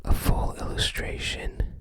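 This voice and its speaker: An ASMR host speaking entirely in a breathy whisper, gently musing aloud in unscripted commentary with trailing phrases. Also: unhurried. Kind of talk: ASMR, unscripted commentary while browsing a game guide book.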